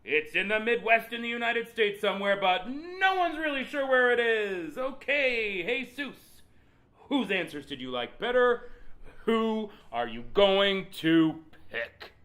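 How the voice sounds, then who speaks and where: Pushy game show announcer, high-pitched, swooping intonation, showy and dramatic, comedy. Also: male voice, big pitch swings, a little slow, fairly bright tone, heavily acted. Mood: pressing. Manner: exaggerated, dramatic announcer delivery.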